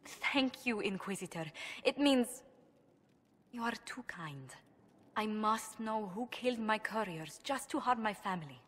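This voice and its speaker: A woman's voice: medium pitch, lively intonation, unhurried. An anxious noblewoman, echoing hall. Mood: troubled. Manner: formal, precise, earnest. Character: proud, anxious young noblewoman diplomat, dutiful and composed. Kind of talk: video game cutscene acting.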